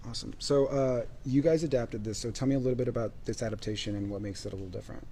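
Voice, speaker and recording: A man's voice: mid-range voice, steady tone, racing, warm tone. A man interviewing two theater directors, asking questions with many fillers and restarts. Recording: faint background noise.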